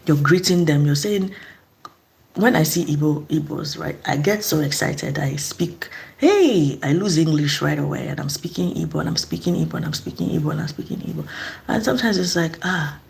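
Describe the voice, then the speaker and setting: A woman's voice: low-pitched, big pitch swings, moderate pace, vocal fry, a little mellow. A middle-aged woman from eastern Nigeria, speaking in a casual, earnest vlog monologue and asking reflective questions. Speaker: vlogger.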